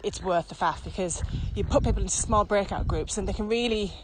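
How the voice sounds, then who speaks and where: A woman's voice: medium pitch, fairly quick. British woman, mid-range voice, informal expert guest on a walking podcast. Practical explainer, chatty delivery, light outdoor noise. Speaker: virtual training expert being interviewed. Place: outdoors, walking on a country path. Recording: outdoor ambience, faint background noise.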